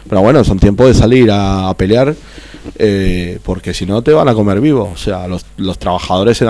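A man's voice: lowish voice, a little mellow, no room sound. A man with an Argentine accent talking heatedly on a political radio chat, repeating and tripping over his words. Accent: Argentine Spanish.

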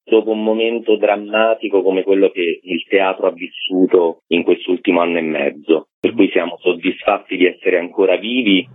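Deep-ish male voice, festival director, informative interview talk, narrow telephone audio. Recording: tinny narrow phone line on radio.

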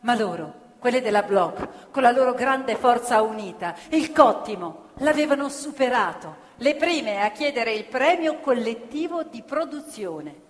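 A woman's voice: fairly high pitch, lively intonation, unhurried, few pauses. A woman narrating a stage play about factory workers with impassioned storytelling that slips into song. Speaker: theatre narrator. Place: theatre stage. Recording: live stage show with long reverb and music.

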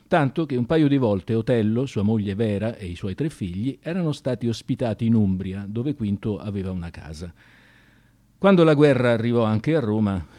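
Guy reading a dramatic radio story, soft dark voice, really expressive with big swings in pitch. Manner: expressive, theatrical delivery.

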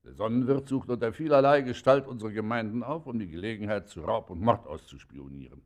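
An actor playing a pompous, officious district magistrate in a German radio play, speaking with formal courtesy and measured bureaucratic authority. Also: male voice, lowish voice, natural voice. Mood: stern, officious.

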